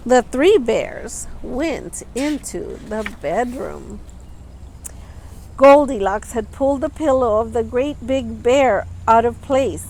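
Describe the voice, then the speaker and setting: Female storytime reader for young children: unhurried, expressive, lively intonation. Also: fairly high pitch, slight background noise, rough recording. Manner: expressive, with simple asides to young listeners. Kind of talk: children's picture-book read-aloud.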